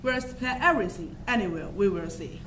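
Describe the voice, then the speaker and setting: A woman's voice: medium pitch, animated, brisk, natural voice. A woman acting a blunt, dismissive, bored theatregoer in an amateur stage comedy. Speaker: student actor in a school play. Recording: echoey, noisy stage recording.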